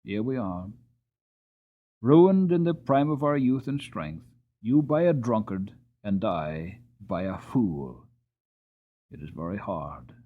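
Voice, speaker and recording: Storybook horse: young mare, bitter and mournful, slow and husky, played by a man. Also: on the low side, very animated, narrow, tinny audio, full character voice. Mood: bitter lament. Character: bitter, sorrowful young mare, ruined in her prime.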